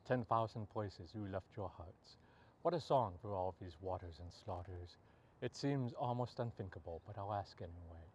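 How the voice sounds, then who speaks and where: A man reading poetry aloud as a poet, in measured, deliberate short phrases. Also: on the low side, darkish tone, highs cut off, slight background noise. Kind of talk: poetry reading, recited free verse.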